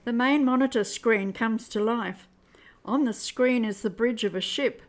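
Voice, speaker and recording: A woman's voice: a little high, unhurried. A woman reading scripted narration for an amateur radio play.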